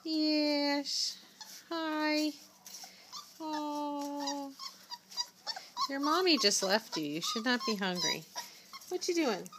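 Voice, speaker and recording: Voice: high-pitched, very slow, pauses often. A person cooing in sing-song baby talk to puppies, repeating short affectionate phrases. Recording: noisy home recording, whimpering puppies. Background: whimpering puppies, clicks.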